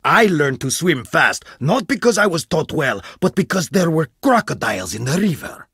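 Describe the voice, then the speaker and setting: A man's voice: a little high, sing-song, moderate pace, fairly bright tone, slightly rough, no echo, studio quality, heavily acted. An actor playing a stern, scornful male archaeologist in a video game, harsh and commanding as he scolds his student. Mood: reflective. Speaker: archaeologist mentor.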